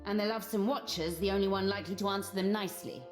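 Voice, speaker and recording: A woman's voice: mid-range voice, fairly even intonation, racing, natural voice. Swaggering game pirate captain, female, played by a woman: bold, mocking, drawling and theatrical, faint music underneath. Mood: swaggering, threatening. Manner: drawling, theatrical, archaic flourishes. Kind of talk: video game character acting.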